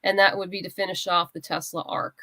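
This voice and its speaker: A woman's voice: on the low side, level tone, fairly quick, pushed and bright, dry recording. A woman speaking casually as a guest writer in an interview, explaining her creative process and acting out little asides.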